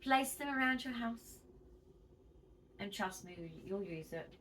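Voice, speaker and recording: An Australian woman talks to her viewers with a lively, swooping pitch that jumps up on the words she stresses. She is chatty and emphatic and sounds a little wheezy. She is recorded from a few feet away, with a faint hiss underneath. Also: slow pace, far from the mic. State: wheezy, tight-chested. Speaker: vlogger. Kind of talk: casual home vlog chat.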